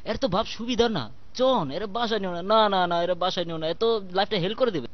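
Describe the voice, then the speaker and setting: Man voicing a high-pitched, cheeky Bangladeshi cartoon cat. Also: fairly quick, dull audio, close to an everyday voice. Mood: indignant. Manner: exclamatory, mocking, complaining. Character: sly, cheeky, lazy, grumbling male cartoon cat. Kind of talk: colloquial comic cartoon dub.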